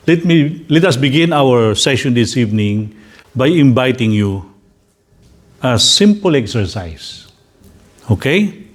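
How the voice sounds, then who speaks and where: A man with a Filipino English accent, a priest leading a slow, gentle guided reflection for a gathering. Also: mid-range voice, big pitch swings. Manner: slow, deliberate, gently inviting.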